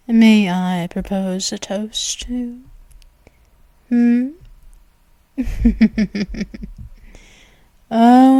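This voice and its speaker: A dominant female vampire, played by a woman, lingers over every word at a very slow pace, purring and slipping into soft whispers. Her teasing carries a quiet menace. The voice sits dry and close with no room around it. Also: mid-range voice, no room sound. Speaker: audio roleplay performer. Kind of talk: ASMR roleplay.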